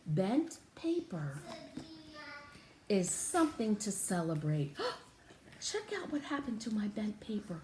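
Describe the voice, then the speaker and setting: A woman reads a picture book to young children in a highly animated, expressive teaching style. She stretches out key words and invites the listener to respond. Her mid-pitched voice rings in an echoey room with faint noise behind it. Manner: exaggerated, expressive teaching delivery with drawn-out words and gasps. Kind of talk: interactive read-aloud for young children.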